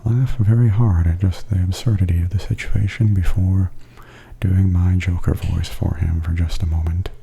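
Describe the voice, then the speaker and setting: A man giving soft-spoken, hushed and often whispery ASMR commentary as a video host. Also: low voice, steady tone, normal speed, creaky, slightly rough. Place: voice-over added after filming.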